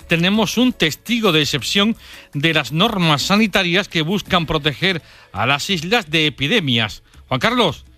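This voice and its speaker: A man's voice: sits high, pushed and bright. A man, a radio host giving formal introductions and asking questions. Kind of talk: radio interview.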